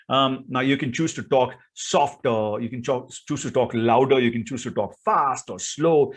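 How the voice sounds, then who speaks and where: A man's voice: mid-range voice, breathy edge. A man with an Indian accent giving an instructional talk as a speaking coach, in an emphatic, theatrical way full of rhetorical questions.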